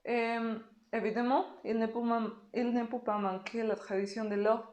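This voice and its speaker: A woman from Mexico, a native Spanish speaker with a Mexican Spanish accent, answering interview questions slowly and carefully. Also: a little high, little pitch movement, a little mellow, echoey room. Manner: slow, careful speech with pauses.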